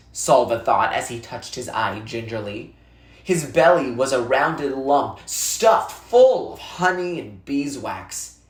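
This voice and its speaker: A narrator reading a children's novel aloud expressively and deliberately, with dramatic pauses for emphasis. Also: medium pitch. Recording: roomy, echoey sound.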